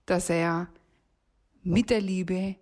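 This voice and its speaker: A woman's voice: fairly low pitch, steady tone, drawn-out words, darkish tone. A woman of forty-seven giving a personal, reflective monologue. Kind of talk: personal monologue about feelings.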